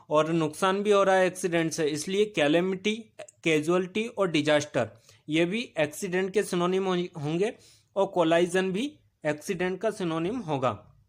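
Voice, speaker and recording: A male teacher, giving a recorded vocabulary lesson. He repeats each meaning as a question, answers it himself, and pauses on "uh". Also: sits high. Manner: steady explaining pace with filler "अ" pauses.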